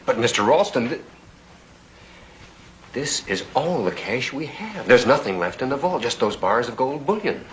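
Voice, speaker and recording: An actor playing a nervous chief cashier who stammers and hesitates. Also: male voice, medium pitch, big pitch swings, normal speed, a bit bright, highs cut off, a little hiss or hum. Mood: anxious. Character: nervous, timid male bank cashier.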